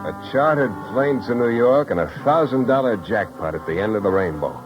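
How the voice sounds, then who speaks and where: A man's voice: medium pitch, close to an everyday voice. An actor playing a cocky, sardonic private detective in a 1950s radio drama, wisecracking and narrating his own case. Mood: sardonic narration. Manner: wry, sardonic. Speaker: lead actor and first-person narrator. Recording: muffled old radio recording with music.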